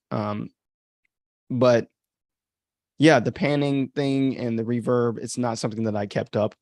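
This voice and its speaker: A man's voice: medium pitch, gravelly, darkish tone, no room sound, studio quality. A man hosting a casual livestream commentary on his own work as an author, thinking aloud in an informal, self-critical way. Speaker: author and podcast host.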